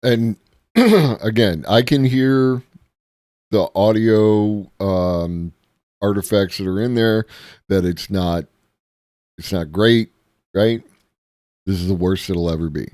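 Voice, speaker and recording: A man streaming live, reacting to a video in a musing, halting way with many ums. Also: fairly low pitch, darkish tone, no room sound, clean studio sound.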